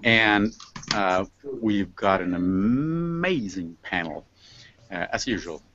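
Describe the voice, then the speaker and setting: A man's voice: lowish voice, expressive, slow, a bit bright, muffled recording. A man hosting an informal online panel over a video call, speaking in a hesitant, stop-and-start way. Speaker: host of an online panel show.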